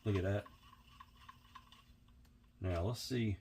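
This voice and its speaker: A hoarse, sniffly man walks through a workbench repair in an unhurried, chatty tutorial style. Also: lowish voice, a little mellow, a little hiss or hum. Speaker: vintage reel restorer.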